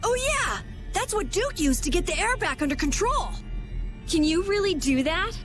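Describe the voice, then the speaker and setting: A woman voicing an excitable young adventurer in a fantasy game, eager and quick to alarm. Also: extremely high pitch. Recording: game audio with loud music behind.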